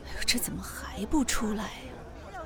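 A woman acting a fretful, chatty middle-aged mother in a Chinese audio drama, with a breathy voice. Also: fairly high pitch, sing-song, moderate pace, whispered, crowd noise.

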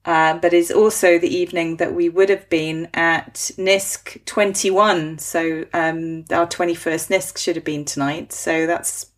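A woman's voice: on the low side, fairly even intonation, unhurried, hardly any pauses. A woman hosting a podcast, chatty and upbeat, joking and laughing easily as she interviews a guest. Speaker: podcast host. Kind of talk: casual conversational interview.

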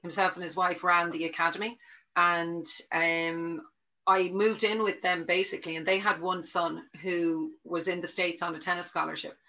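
Bright-voiced Dublin woman, lo-fi. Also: lowish voice, level tone, moderate pace. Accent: Dublin Irish. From Ireland. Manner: chatty, rambling, with frequent restarts and fillers. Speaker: tennis coach. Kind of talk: conversational interview answers. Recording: dull lo-fi audio.

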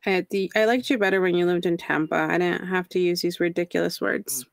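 Young Texan woman, podcast host, low gravelly voice, self-mocking, dry close sound. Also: fairly even intonation, brisk, no echo. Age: young adult. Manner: laughs often, self-mocking. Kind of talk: casual chat.

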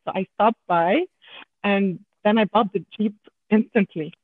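A woman with a French Canadian accent, a podcast guest chatting in a halting way with many restarts. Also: mid-range voice. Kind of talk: casual podcast chat. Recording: tinny phone-line audio.

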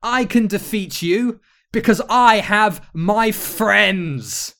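A man's voice: sits high, slow pace, a bit bright, pitched above the performer's own voice, heavily acted. A man voicing a brave, defiant boy hero from a storybook, in a melodramatic manner. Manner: melodramatic, declamatory.

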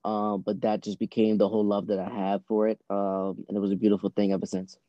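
A man's voice: fairly low pitch, completely flat delivery, quick pace, dry recording, muffled recording. A man who grew up in Boston, a basketball coach, giving casual, conversational answers as a podcast guest. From born in Trinidad, grew up in Boston from age six. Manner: conversational, frequent "you know".

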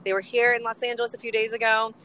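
A woman co-hosting from a car, bubbly and rambling, her voice worn low from chanting. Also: little pitch movement, fast talker, bright, forceful tone, slight background noise, low-quality recording. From US West Coast. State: voice getting lower from chanting. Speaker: co-host. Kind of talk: casual co-host chat, road trip update.

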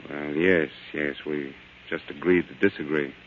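A mild, troubled American husband in 1940s radio drama. He has a very low voice and a subdued, hesitant, polite delivery, muffled by the old broadcast recording. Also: fairly even intonation, normal speed, slight background noise. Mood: rueful.

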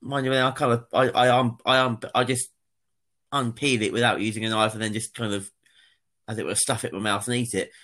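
A man co-hosting a podcast in easy chat, at a middle pitch for a man. He repeats himself as he starts sentences, laughs often and jumps into animated bursts. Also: brisk. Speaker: podcast co-host. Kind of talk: casual chat.

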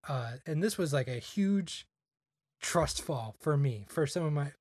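A man's voice: on the high side, lively intonation, a little slow, a little mellow, husky, no room sound. Young man rambling casually, dry mic. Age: young adult. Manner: rambling, lots of "like" fillers. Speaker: podcast guest. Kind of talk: casual chat.

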